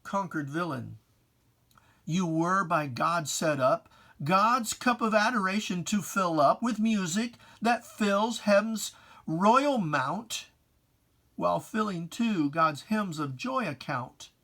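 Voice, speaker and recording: A reader giving a solemn, theatrical recitation of religious verse, with drawn-out phrasing. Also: mid-range voice, expressive, bright, forceful tone. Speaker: poetry reader.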